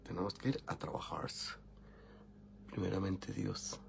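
Gravelly man with a cold, Mexican accent. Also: sits low, steady tone, measured pace, a little hiss or hum. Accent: Mexican Spanish. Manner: chatty, swears freely, greets viewers by name. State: has a cold. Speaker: makeup vlogger. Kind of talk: casual live chat while doing makeup. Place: indoor home makeup room.

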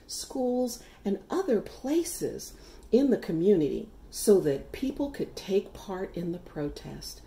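A middle-aged woman reading her own children's picture book aloud, with deliberate, expressive phrasing for young listeners. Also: mid-range voice, gravelly. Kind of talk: read-aloud children's story narration.

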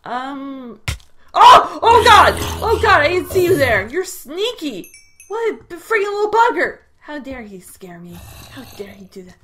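A woman's voice: high-pitched, sing-song, unhurried, a bit bright, a little hiss or hum. A young woman with a Canadian accent giving casual gameplay commentary, given to sudden startled squeals and chatty asides to her viewers. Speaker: gaming video creator.

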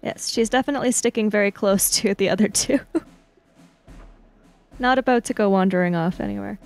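A woman's voice: medium pitch, normal speed, soft background music. A woman player in a tabletop roleplaying game, casually describing her character in the third person.

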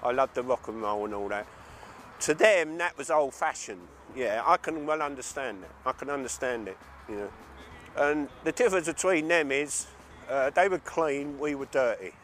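A man's voice: medium pitch, moderate pace, a bit bright, a little hiss or hum. An older man, a former rocker, casually reminiscing in a rambling way, repeating himself and saying "you know" a lot. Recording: music playing underneath.

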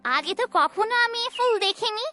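An excited little village girl from a fairytale cartoon , voiced by a woman. Her voice is very high, eager and wheedling, full of curiosity. Loud music plays behind her. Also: normal speed. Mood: delighted, eager.

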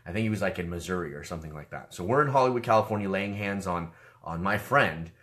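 A man in his thirties, hoarse and sick with a cough, excitedly sharing a personal testimony from a small room on a webcam. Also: low voice, quick pace. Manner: animated, gestures with his hands. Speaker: online ministry host. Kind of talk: personal testimony, casual preaching. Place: small room, seated in a leather chair.

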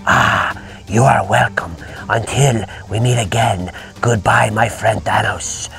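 A man's voice: fairly low pitch, takes it slowly, vocal fry, a bit bright, faint music behind, full character voice. A man voicing a sly, scheming alien symbiote, speaking in oily half-whispers. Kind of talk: toy play voice acting.

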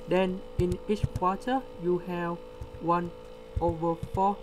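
Brief: male tutor, slow deliberate walkthrough, mains hum under the voice. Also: high-pitched. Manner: slow, step-by-step explaining with pauses. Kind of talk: screen-recorded math lesson. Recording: steady electrical hum behind.

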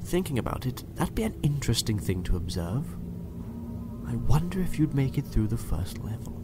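A man playing a coldly menacing doctor in a dystopian audio drama, measured and condescending, at times hushed. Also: mid-range voice, big pitch swings, heavy background noise, lightly acted. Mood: cool, condescending.

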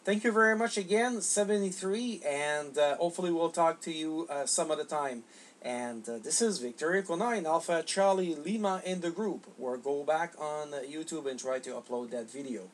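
A middle-aged man making casual ham radio contact, halting and repeating words as he goes. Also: on the high side, slight background noise. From Canada. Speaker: amateur radio operator. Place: small home radio room, indoors.

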